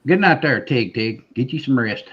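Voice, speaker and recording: A man hosting a casual livestream chat. He talks in a lively, apologetic way and laughs often. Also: medium pitch, normal speed, breathy edge, dull audio. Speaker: livestream host.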